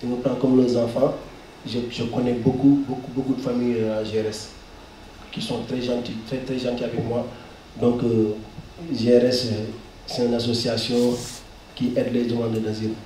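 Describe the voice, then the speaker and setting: A very echoey, distant recording of a man with a Mauritanian accent. His voice is mellow, and he speaks haltingly, repeating words as he gives his testimony at a press conference. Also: mid-range voice, little pitch movement. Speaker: guest speaker, refugee giving testimony. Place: indoor press conference room. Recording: roomy echo, distant mic, faint noise.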